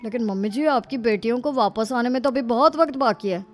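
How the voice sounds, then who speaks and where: A woman's voice: on the high side, brisk, soft background music, lightly acted. A woman voicing lazy, freeloading young sons-in-law in a breezy, wheedling manner for a comic story.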